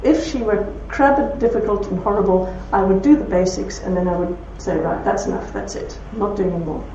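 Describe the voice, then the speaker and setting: A woman with a broad Belfast accent speaking to an audience, telling anecdotes with warmth and laughter. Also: mid-range voice, fairly quick, a little hiss or hum. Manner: warm anecdotal storytelling with laughs. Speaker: speaker at a talk. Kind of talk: talk with audience questions. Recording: roomy hall from far off, dull.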